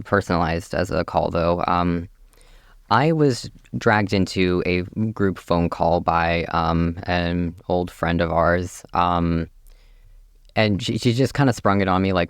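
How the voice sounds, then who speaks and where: A young man of eighteen, a radio guest, speaking hesitantly with frequent pauses and fillers. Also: sits low, clear, resonant tone, no echo, clean studio sound. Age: young adult. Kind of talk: casual radio interview.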